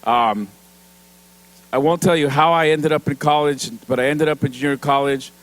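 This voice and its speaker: A middle-aged man speaks in a high, light voice. He gives a motivational talk at an event, sprinkles in Spanish phrases, and keeps it animated and funny. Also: moderate pace, rough recording. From Mexican-American, from San Diego. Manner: animated, mixes Spanish phrases, laughs while telling stories. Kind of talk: motivational speech with comedy.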